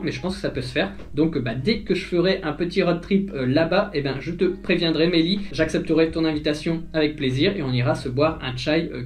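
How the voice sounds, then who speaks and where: Hesitant French vlogger, man from the north. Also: a little high. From northern France. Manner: hesitant, many fillers and restarts. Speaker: vlogger answering viewer questions. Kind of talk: casual chat.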